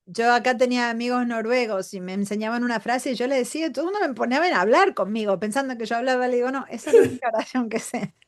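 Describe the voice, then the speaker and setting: Chatty Argentine woman with porteño accent. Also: on the high side. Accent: Buenos Aires Spanish. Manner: chatty, laughing often, quick asides. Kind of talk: casual conversation.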